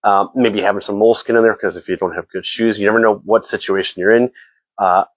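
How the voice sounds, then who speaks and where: Stuffy low-voiced man, rambling. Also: steady tone, fast talker, no echo. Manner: rambling, repeats words, frequent ums. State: stuffy, slightly nasal. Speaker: host. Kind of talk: casual gear talk to camera.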